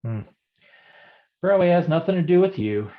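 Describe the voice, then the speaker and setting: A man's voice: on the high side, expressive, normal speed, narrow, tinny audio, close to an everyday voice. A man playing a bumbling, folksy private detective in a staged noir parody, stammering and easily rattled. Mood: flustered, evasive. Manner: stammering, nervous chuckling, folksy slang.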